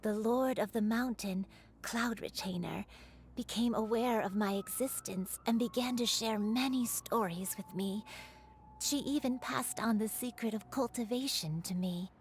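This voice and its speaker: A gentle old grandmother in a dramatic video game scene. Her voice sits a little high and moves slowly, with formal, tender storytelling weighed down by guilt. Some ambient noise sits behind her. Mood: wistful. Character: gentle old grandmother, a crane turned human, burdened with guilt.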